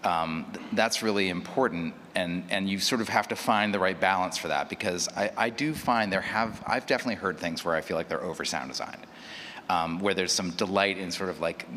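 A man with a fairly low voice speaks as a panelist, working out his thoughts aloud and qualifying them. The hall adds long reverb, with faint noise underneath. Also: fairly bright tone. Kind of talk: unscripted panel discussion.

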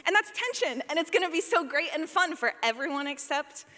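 Bright, high-voiced woman presenting in a hall. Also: a little fast. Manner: animated, playful, informal, acting out examples. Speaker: session presenter, podcast sound designer. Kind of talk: live workshop talk with audience participation. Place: large indoor session room. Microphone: presentation microphone. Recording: echoey hall, live presentation.

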